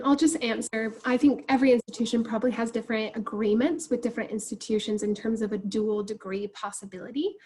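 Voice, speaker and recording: A woman's voice: a little high, breathy edge. A woman with an American accent who moved abroad, answering questions on a webinar in an encouraging, friendly way. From United States. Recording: online video call.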